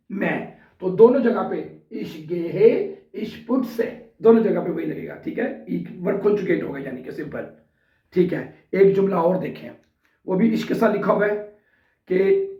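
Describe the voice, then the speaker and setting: A man with a sore throat teaching a language lesson in a casual way, repeating example sentences word by word. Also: high voice. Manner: slow and repetitive, spelling out example sentences word by word. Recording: distant, roomy, dull sound.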